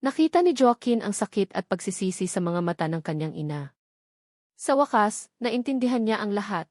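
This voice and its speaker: A woman's voice: medium pitch, big pitch swings, moderate pace, darkish tone, no echo, clean studio sound. A woman with a Filipino accent reading a scripted, dramatic story as its narrator.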